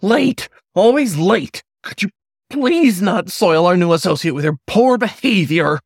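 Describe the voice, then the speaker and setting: A shrill, fussy hare manager, squeaking high in a piercing kettle-whistle tone. He swings wildly up and down while he scolds, and the sound is close and dry, with no room around it. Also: expressive, a little slow, creaky, no room sound, heavily acted. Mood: scolding. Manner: scolding, exasperated outbursts.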